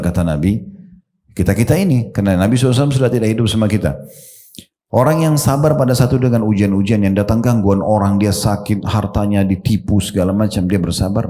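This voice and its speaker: A man's voice: on the low side, dark tone. A middle-aged male preacher giving a sermon, talking straight to his listeners and explaining with everyday examples and questions. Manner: addresses his listeners directly.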